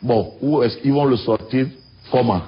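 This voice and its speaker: A man's voice: medium pitch, normal speed, muffled recording, a crowd in the background. A man co-hosting a morning TV show, reviewing newspapers in a joking way and repeating his phrases. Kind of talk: casual press review banter.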